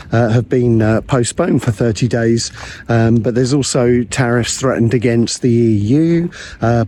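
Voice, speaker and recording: A man, a pastor and theologian, giving a measured teaching talk to camera with frequent ums and uhs, his voice a little croaky from recent illness. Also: mid-range voice, dark tone, a little hiss or hum.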